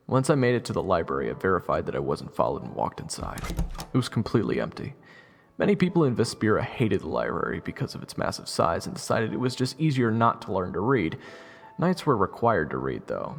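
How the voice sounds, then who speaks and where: Man acting as hapless young fantasy hero, lowish creaky voice, very animated, wry, audio drama. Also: brisk, exaggerated voice. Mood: wry narration. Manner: wry, casual, awkward and hesitant. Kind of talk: audio roleplay acting with first-person narration.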